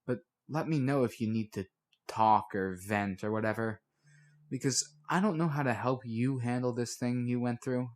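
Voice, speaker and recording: Man as a moody, halting teenage girl. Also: mid-range voice, close to an everyday voice. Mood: troubled, confessional. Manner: halting, slangy, confessional. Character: moody, self-doubting teenage girl confiding in her friend.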